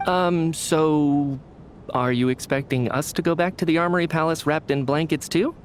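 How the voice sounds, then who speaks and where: A man's voice: on the high side, fairly even intonation, normal speed, slight background noise, natural voice. An actor voicing a wry, reluctant young male soldier in a game cutscene, teasing and sarcastic.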